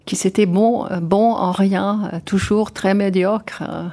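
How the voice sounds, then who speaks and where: An older woman from Sweden speaking French with a Swedish accent, answering interview questions thoughtfully, often pausing with fillers and restarts. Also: mid-range voice, fairly even intonation, dark tone. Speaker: guest.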